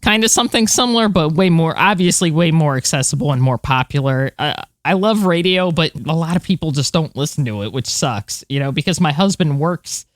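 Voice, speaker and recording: A woman's voice: deep voice, expressive, a little fast, few pauses, no room sound. An American woman co-hosting a podcast, chatting casually in an informal, rambling, self-correcting way. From United States. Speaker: podcast co-host. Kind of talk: casual chat.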